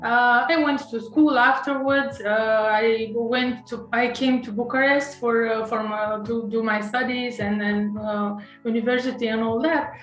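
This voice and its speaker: A woman's voice: a little high, steady tone, measured pace, few pauses, fairly bright tone, roomy sound, faint music behind, low-quality recording. A woman from Romania with a Romanian accent, an author being interviewed, speaking hesitantly with many fillers, restarts and nervous laughs. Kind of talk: casual interview conversation.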